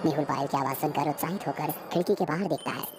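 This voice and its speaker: A woman's voice: deep voice, fast, background noise. A woman reading a scripted horror story as its narrator.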